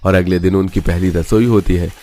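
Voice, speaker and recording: A man's voice: sits low, steady tone, a little fast, dark tone. A man reading scripted storybook narration for a cartoon.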